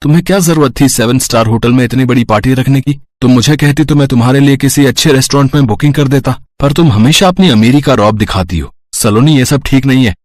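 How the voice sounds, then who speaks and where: A man's voice: medium pitch, fast talker, hardly any pauses, darkish tone, no room sound, clean studio sound, close to an everyday voice. A man playing an earnest young husband in a cartoon moral story, reasoning reproachfully and protective of his family. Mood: exasperated. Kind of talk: acted dialogue in a Hindi moral story cartoon. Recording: voice over prominent music.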